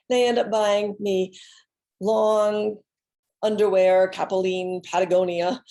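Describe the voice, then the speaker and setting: An American woman giving a dramatic, autobiographical one-woman reading, theatrical and deliberate with dramatic pauses. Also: lowish voice, darkish tone. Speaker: solo performer, storyteller.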